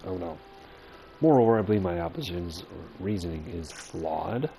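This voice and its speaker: A man's voice: low-pitched, expressive, moderate pace, dark tone. A man playing through a game, reading dialogue aloud with casual asides and some stumbles. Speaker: gamer making a playthrough. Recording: clearly noisy background.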